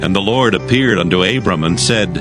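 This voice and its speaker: A man narrating scripture as a scripted dramatized audio reading, in a solemn, measured storytelling manner. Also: low voice. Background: music.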